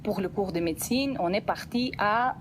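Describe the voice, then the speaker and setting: A woman's voice: medium pitch, fairly quick, background noise. A woman whose first language is Dutch, speaking French with a slight Belgian Dutch accent, explaining her program in a radio interview with enthusiasm and many restarts. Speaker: guest, program representative. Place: radio studio.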